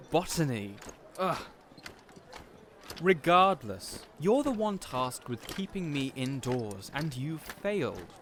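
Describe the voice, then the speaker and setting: A pompous, sneering young lord , voiced by a man, in a fantasy audio roleplay. The voice is bright and theatrical, with a wide, swooping pitch and a slow, drawn-out delivery. A faint hiss of noise sits under the recording. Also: exaggerated voice. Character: spoiled arrogant young nobleman, haughty then panicked.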